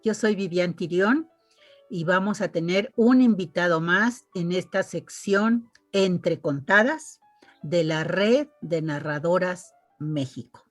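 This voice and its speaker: A woman hosts an online interview, talking slowly in a slightly low voice. She reads a guest's résumé with chatty asides, close and dry on a video-call mic, with faint music behind her. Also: animated, dry recording. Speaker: interviewer and host.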